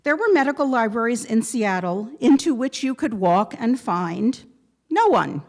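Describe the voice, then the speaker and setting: A woman giving a prepared memorial tribute to a gathering, speaking in measured, deliberate phrases with pointed pauses. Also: on the high side, expressive, a little mellow. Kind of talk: prepared eulogy read aloud to a gathering.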